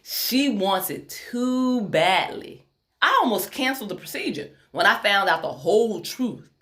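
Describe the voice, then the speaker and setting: A woman's voice: medium pitch, expressive, measured pace, fairly bright tone, pitched above the performer's own voice. A woman voicing an exacting, proud female plastic surgeon with a Tennessee accent, in a commanding, emphatic, folksy way. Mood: confiding.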